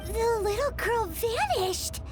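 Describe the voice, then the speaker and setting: Little female sprite, squeaky high pitch, very animated, childlike, cartoonish game voice, loud music behind. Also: slow pace, heavily acted. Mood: startled, worried. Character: tiny excitable female sidekick sprite with childlike voice. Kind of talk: cartoonish video game voice acting.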